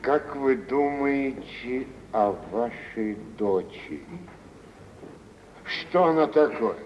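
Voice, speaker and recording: An actor playing a self-important, wealthy older gentleman, pompous and deliberate, in an old theatrical radio drama. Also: mid-range voice, animated. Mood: weighty, insistent. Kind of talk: 1940s theatrical radio acting. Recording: dull, noisy vintage radio play recording.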